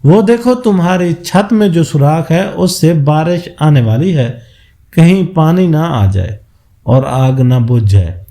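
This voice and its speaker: A man's voice: on the high side, lively intonation, takes it slowly, dark tone. A man voicing a sly swindler in a folk tale, wheedling his rival with brotherly talk. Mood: feigned concern. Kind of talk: moral folk tale character voice.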